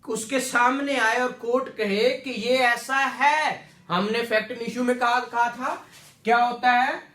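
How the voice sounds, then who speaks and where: A man's voice: very high voice, fairly even intonation, measured pace, bright, forceful tone. A man with a Pakistani Punjabi accent lecturing to a class, explaining repetitively, often checking for agreement and mixing in English legal terms. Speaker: law lecturer. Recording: echoey room sound.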